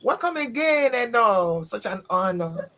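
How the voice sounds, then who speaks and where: A woman's voice: on the high side, big pitch swings, measured pace, fairly bright tone. A woman hosting an interview show, effusive and emphatic, repeating phrases for weight. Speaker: host.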